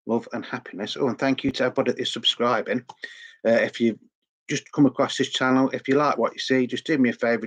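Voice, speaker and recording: A middle-aged man with a sore throat, chatting in a rambling, repetitive way as host of a record collecting vlog. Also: mid-range voice. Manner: rambling, chatty, repeats words often. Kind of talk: casual vlog chat.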